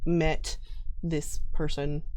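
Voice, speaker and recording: A woman's voice: low-pitched, level tone, very slow, a little mellow. A woman chatting casually as a guest player, giggly and informal as she explains things. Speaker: tabletop game player, guest. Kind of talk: casual chat describing a game character.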